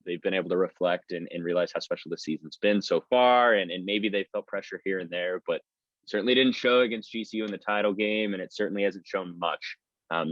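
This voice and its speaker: A man with a fairly low voice talks sports in a conversational, analytical way, like a play-by-play announcer being interviewed. The sound is dry and a bit dull, with a lo-fi edge. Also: dry recording. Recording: slightly dull lo-fi audio.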